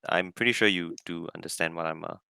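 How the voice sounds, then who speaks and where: A man who is a guest from a crypto team, talking fast and emphatically in a casual online chat. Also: sits low, vocal fry, bright, forceful tone, slight background noise.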